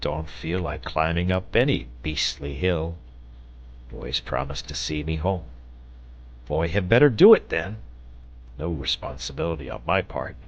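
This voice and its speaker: A man's voice: a bass voice, lively intonation, a little slow, voice pushed down, exaggerated voice. A man voicing a big, genial, sleepy dragon in a storybook, murmuring in drowsy, clipped phrases. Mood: drowsy, contented. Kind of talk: storybook character voice. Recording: dull, lo-fi audio.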